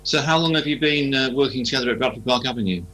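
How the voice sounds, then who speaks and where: A man working as a radio interviewer, putting informal questions in a hesitant, halting way. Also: mid-range voice, fairly bright tone, rough recording.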